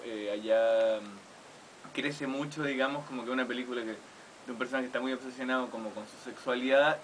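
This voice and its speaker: A man in his thirties with a Chilean accent, a film director talking about his film in a relaxed, thoughtful way in a radio interview. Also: medium pitch, a little hiss or hum, poor audio. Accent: Chilean Spanish. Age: 30s. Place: small radio studio. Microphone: studio mic on boom arm.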